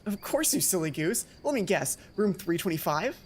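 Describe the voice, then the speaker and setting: A woman voicing an excitable, chatty, teasing teenage schoolgirl roommate in a visual novel game. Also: fairly low pitch, normal speed, slight background noise. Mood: perky teasing. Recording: music playing behind voice.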